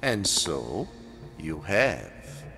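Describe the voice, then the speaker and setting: Older villainous baron, radio play: imperious, threatening, low-voiced, dramatic swings, in a reverberant hall. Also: male voice, very animated, vocal fry, pushed and bright, faint music behind, heavily acted. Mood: smug menace. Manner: slow, deliberate, menacing. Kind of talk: audio drama acting.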